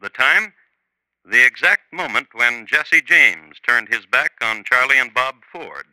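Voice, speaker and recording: A man playing a wry, dryly ironic crime storyteller, deliberate and theatrical, narrating in 1950s radio style. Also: mid-range voice, lively intonation, pushed and bright, no room sound, poor audio. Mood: wry, ominous. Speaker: host and narrator. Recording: vintage 1950s radio recording.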